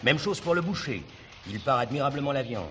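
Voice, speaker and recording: An actor playing an authoritative, composed gendarmerie captain in a television drama, with a clipped, formal, official manner. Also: male voice, medium pitch, animated, fairly quick, a bit bright, natural voice. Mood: matter-of-fact. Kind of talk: 1970s French television drama acting. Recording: noisy old drama recording.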